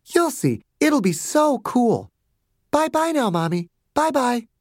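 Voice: high-pitched, sing-song, slow pace, a little mellow, in a large hall, pitched above the performer's own voice, exaggerated voice. A narrator voicing a bossy, cruel little girl who gives orders in a childish, spoiled way, in an audiobook story. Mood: sweetly dismissive.

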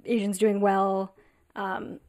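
A woman, a comedian, musing thoughtfully in a casual panel conversation, quick and full of fillers. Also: mid-range voice, level tone, darkish tone.

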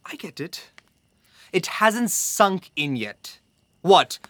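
A man's voice: high-pitched, big pitch swings, takes it slowly, fairly bright tone, no room sound, exaggerated voice. A man playing a stern, scornful young camp guard in an audio drama, with a formal, curt, intense manner. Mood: scornful, incredulous.

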